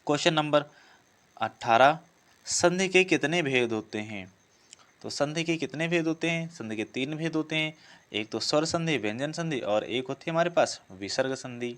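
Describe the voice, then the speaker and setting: A man's voice: a little high, expressive, normal speed, a bit bright. A man giving an instructional lesson, working through practice questions and repeating each before answering it. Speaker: teacher presenting exam practice questions.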